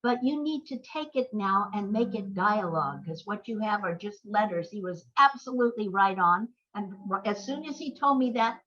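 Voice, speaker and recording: An older woman reminiscing in a conversational interview, wordy and halting as she corrects herself. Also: mid-range voice, expressive, fairly bright tone. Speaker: interview guest, playwright and screenwriter. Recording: dull, lo-fi audio.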